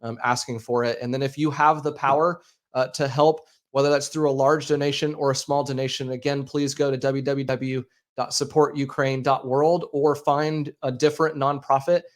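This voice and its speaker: An American man in his thirties hosting a livestream, making an earnest, halting appeal to viewers with many fillers and repeated words. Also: mid-range voice, little pitch movement. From United States. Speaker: livestream host. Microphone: speaking into a microphone.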